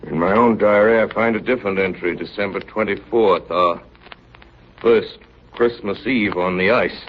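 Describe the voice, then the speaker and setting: An actor playing a steady, grave ship's officer telling of an Arctic voyage in 1930s radio drama style. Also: male voice, on the low side, normal speed, lightly acted. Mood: grave, weary. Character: ship's chief narrating his Arctic diary, steady and grave. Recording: muffled, noisy 1930s radio recording.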